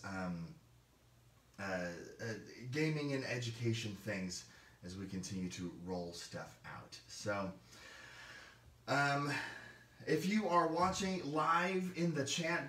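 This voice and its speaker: Hoarse man hosting a game-store livestream. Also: mid-range voice, fairly bright tone, far from the mic. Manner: rambling, many fillers and restarts. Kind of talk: casual livestream talk. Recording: roomy, mic set back.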